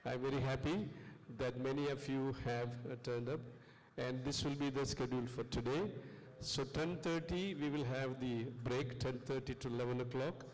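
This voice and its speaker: A man with a South Indian accent hosting a class session, giving informal instructions in a halting, repetitive way. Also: medium pitch, fairly even intonation, darkish tone. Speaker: lecturer and session host. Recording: long echoing hall reverb.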